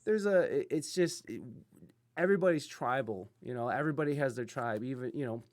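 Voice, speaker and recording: A man hosting a relaxed podcast, his talk looping through restarts and filler words as he works toward a point. His voice sits at an ordinary middle pitch. He is from Ohio. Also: fairly quick. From Ohio, USA. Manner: rambling with many restarts and fillers. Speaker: podcast host. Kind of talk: casual interview chat.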